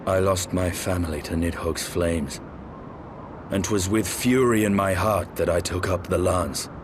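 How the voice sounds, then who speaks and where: An actor playing a grim, vengeful, war-weary knight in a fantasy video game, speaking in solemn, archaic phrases. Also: male voice, low voice, unhurried, noisy background. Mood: grim, sombre. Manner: solemn, archaic formal speech. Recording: music behind the voice. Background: loud game score.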